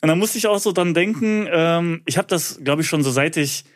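A man's voice: fairly high pitch, normal speed. A man in his thirties co-hosting a podcast, speaking in an easygoing, slangy way. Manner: laid-back, slangy, easygoing. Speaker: podcast co-host. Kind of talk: casual chat.

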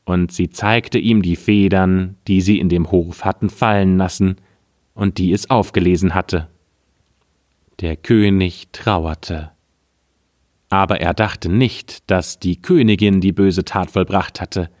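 A man with a low voice reads a fairy tale aloud. He takes it slowly, in measured and expressive storyteller phrasing, and the sound is very dry. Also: dry recording. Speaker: storyteller, podcast narrator. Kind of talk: scripted fairy-tale narration.

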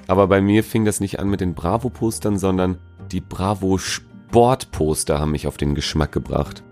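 A man's voice: low-pitched, a little mellow. A man answering interview questions in a casual, informal, laid-back way, as a musician talking about himself. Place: at home.

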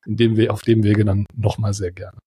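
A man's voice: fairly low pitch, flat delivery, a little fast, warm tone, breathy edge. A German man co-hosting a podcast in casual chat, rambling with fillers and restarts. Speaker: podcast co-host.

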